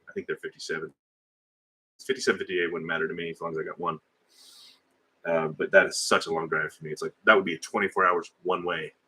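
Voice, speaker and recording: Low-voiced man chatting on a livestream. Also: sharp tone, husky. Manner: rambling, conversational, hesitant with fillers. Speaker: livestream host. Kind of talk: casual live chat reading viewer comments.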